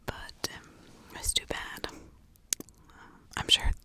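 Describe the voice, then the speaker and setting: A woman hosting an ASMR video, talking informally and personally in a hushed, confiding way. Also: slow pace.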